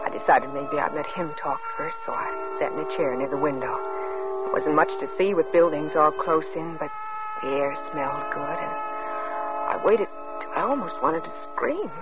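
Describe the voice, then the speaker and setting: An actress playing an anguished, pleading young pregnant wife in an old-time radio drama. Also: female voice, low voice, lively intonation, moderate pace, loud music behind the voice. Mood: subdued, tense. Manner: pleading, emotionally intense. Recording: noisy muffled vintage radio broadcast.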